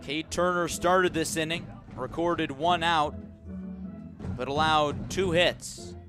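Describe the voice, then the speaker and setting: Higher-voiced man calling baseball play-by-play. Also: slow pace, music in the background. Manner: excited calls on big plays. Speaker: radio baseball play-by-play announcer. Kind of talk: live sports commentary.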